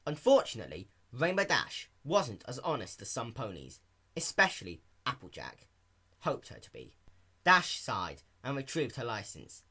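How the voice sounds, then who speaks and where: A man's voice: medium pitch, wildly swooping pitch, moderate pace, pushed and bright, husky. A man reading a fan fiction story aloud as an amateur narrator.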